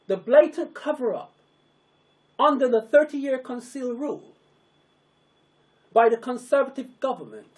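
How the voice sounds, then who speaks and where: A narrator reads a formal public address very slowly. The pitch moves widely from phrase to phrase. The delivery is solemn and emphatic, with sentences broken into short, weighty pieces. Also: low-quality recording. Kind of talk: scripted, declamatory public address.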